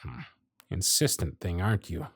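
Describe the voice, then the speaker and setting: Guy voicing a tired sarcastic workshop supervisor, nearly a century on the job, blunt, lively up-and-down delivery, audio drama. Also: sits low, moderate pace, breathy edge, no room sound, studio quality, full character voice. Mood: bitter, exasperated. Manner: sardonic, blunt. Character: jaded male workshop supervisor, century-old, gruff and weary. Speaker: voice actor in audio roleplay. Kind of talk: ASMR roleplay acting.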